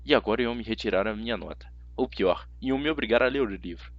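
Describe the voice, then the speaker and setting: A man's voice: fairly low pitch, quick pace, no room sound, dull audio. A man with a Brazilian accent narrating a story dramatically in the first person, as an amateur audiobook reader.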